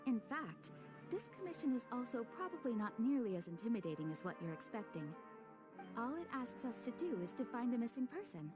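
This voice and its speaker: A woman voicing a calm, courteous female guild receptionist who speaks in a formal, measured way, with soft game music behind. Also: fairly high pitch, low-quality recording. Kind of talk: game cutscene voice acting.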